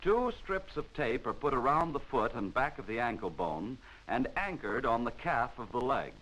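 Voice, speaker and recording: American man narrating with lively, swinging pitch. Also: rough recording. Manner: formal, measured, instructional. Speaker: training film narrator. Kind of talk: 1940s scripted instructional film narration. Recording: vintage 1940s film soundtrack.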